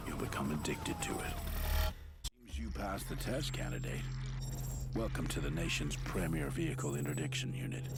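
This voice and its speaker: A stern, hard-nosed police commander briefs recruits in a video game. The voice is bottomless and creaky, intense and commanding, over loud music. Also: male voice, extremely low pitch, full character voice. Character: hard-nosed male federal elite police unit commander.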